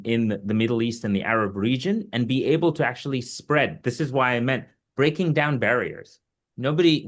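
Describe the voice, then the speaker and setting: An earnest man from Egypt answers questions as an invited surgical expert. He explains step by step and stresses his points, often with practical examples. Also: medium pitch. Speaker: surgeon and invited webinar speaker.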